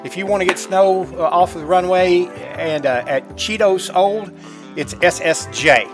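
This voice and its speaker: A man's voice: high-pitched, moderate pace, music in the background. A middle-aged man giving casual, folksy gameplay commentary, chatting informally to his viewers. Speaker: gaming streamer. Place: indoors at a computer desk, webcam.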